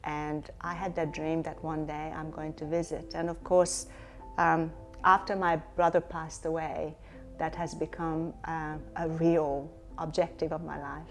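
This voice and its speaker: A woman's voice: deep voice, little pitch movement, unhurried, soft background music. A middle-aged woman from Hungary, a company chief executive answering interview questions in a reflective way, with many fillers and restarts. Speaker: company CEO, guest.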